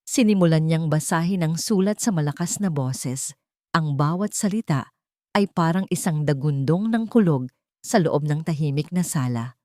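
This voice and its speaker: A woman with a fairly low voice tells a dramatic story as its narrator. The delivery is scripted storytelling, and the sound is dry and close. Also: normal speed, warm tone, dry recording.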